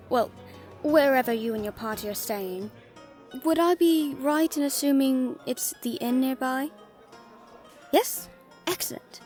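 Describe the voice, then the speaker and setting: A woman playing a friendly female merchant in a fantasy audio drama, gently teasing, caring and encouraging as she talks to the listener. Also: sits high. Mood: affectionate, insistent.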